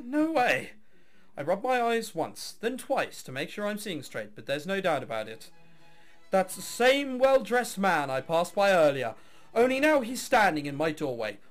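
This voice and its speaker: Male let's player, high voice, very lively intonation, dramatic story reading with wry commentary, music behind. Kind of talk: reading game text aloud with casual commentary.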